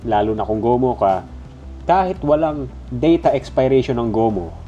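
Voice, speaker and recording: A man's voice: medium pitch, animated, normal speed, lo-fi sound, music in the background. Casual Filipino man with a stuffy nose. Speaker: product reviewer. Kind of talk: casual, conversational gadget review.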